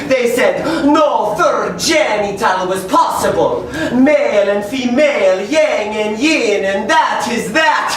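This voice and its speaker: A man performing a pompous, short-tempered mad scientist baron in a campy staged reading, declaiming and erupting into shouts. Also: very high voice, lively intonation, slow, few pauses, a crowd in the background, exaggerated voice. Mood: grandiose. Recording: distant mic, noisy echoey live room.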